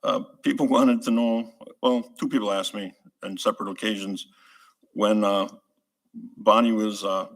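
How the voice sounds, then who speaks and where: A man's voice: lowish voice, fairly even intonation, moderate pace, fairly bright tone. A man on a city council passing along residents' questions at a meeting. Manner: relays residents' questions plainly. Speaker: council member. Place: council chamber.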